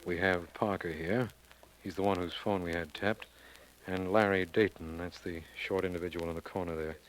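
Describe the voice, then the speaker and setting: An actor playing an earnest, practical district attorney in a 1940s radio drama, plain-spoken and easily puzzled. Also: male voice, sits low, steady tone, moderate pace, natural voice, rough recording. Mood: official.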